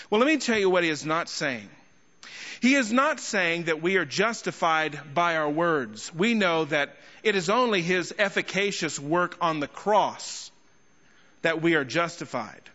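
Bright-voiced male preacher in an echoing hall. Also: on the high side, animated. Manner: emphatic, rhetorical questions and deliberate pauses. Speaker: guest preacher and teacher. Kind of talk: expository sermon to a congregation. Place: large indoor church hall. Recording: echoey large hall, slightly muffled sound.

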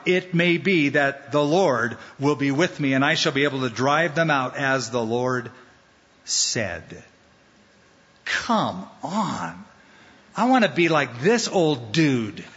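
A man preaching a sermon as pastor, animated and playful, acting out the voices he quotes. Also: fairly high pitch. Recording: dull sound in a large hall with long reverb.

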